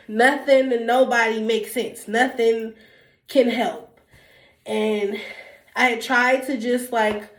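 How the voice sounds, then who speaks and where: A young woman with a stuffy nose from a cold, talking candidly to her viewers in a rambling, casual way with lots of ums and restarts. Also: fairly high pitch. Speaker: YouTuber. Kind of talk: casual sit-down talk to camera. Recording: echoey room.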